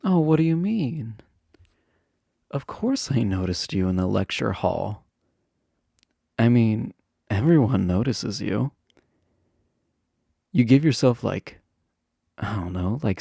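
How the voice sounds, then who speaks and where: Casting: male actor as popular college guy, chatty and teasing, easygoing flirt, unhurried delivery with lively pitch swings, romantic audio roleplay. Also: gravelly, no echo, clean studio sound, full character voice. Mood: teasing, curious. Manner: chatty, teasing, hesitant filler-laden casual phrasing. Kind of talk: romantic roleplay audio acting, casual intimate chat.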